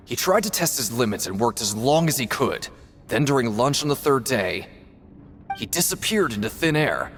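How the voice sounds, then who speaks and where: Animated, streetwise factory worker. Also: male voice, medium pitch. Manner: folksy, conversational, cautionary. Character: seasoned male prison factory worker, casual, knowing, cautionary. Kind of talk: video game voice acting.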